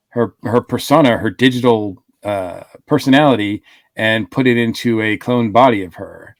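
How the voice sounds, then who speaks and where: A man's voice: medium pitch, dry recording. A man co-hosting a casual podcast discussion, thinking aloud with many fillers. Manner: thoughtful, hedging with fillers. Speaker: podcast co-host.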